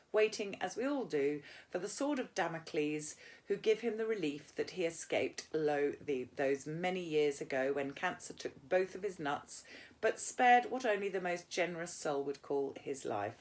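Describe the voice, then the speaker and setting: A woman with a British accent and a fairly low voice reads from a novel as a teacher would for students. Her delivery is informal and explanatory, moving between the story and plain teaching talk. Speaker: English teacher. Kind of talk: reading a novel aloud with informal teaching asides.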